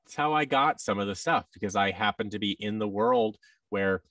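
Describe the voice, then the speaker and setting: A man's voice: on the low side, normal speed, a bit bright, dry recording, far from the mic. An American man in his thirties chatting casually as an interview guest, often restarting and acting out bits of dialogue. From United States. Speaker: podcast guest, voice actor. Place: large indoor room with tables, on a video call. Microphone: boom-arm microphone with headset.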